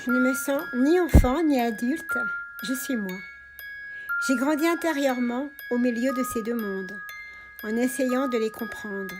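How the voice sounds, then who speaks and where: A woman's voice: a little high, a little slow, music in the background. A woman sincerely narrating her own life on stage, reflective and thoughtful. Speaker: comedian and narrator.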